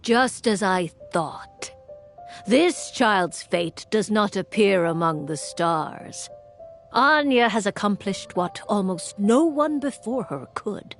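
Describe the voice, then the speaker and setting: A woman voicing a calm, aloof seer witch in a video game, measured and commanding. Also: medium pitch, soft background music.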